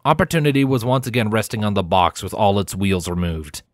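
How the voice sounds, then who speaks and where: A man's voice: lowish voice, lively intonation, a little fast, no room sound, clean studio sound. A man narrating a scripted story as an audiobook-style reader.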